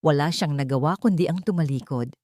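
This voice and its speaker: A woman's voice: deep voice, fairly quick, darkish tone, no room sound, clean studio sound. A woman narrating a scripted romantic drama with emotive, melodramatic storytelling. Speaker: narrator of audio love stories.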